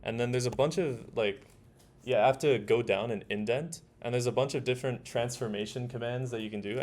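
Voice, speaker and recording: A man leading an informal hands-on tutorial, explaining steps in a casual, halting way as he thinks aloud. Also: on the low side. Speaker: workshop instructor. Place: indoor workshop room. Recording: noisy room with keyboard typing. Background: keyboard typing, room noise.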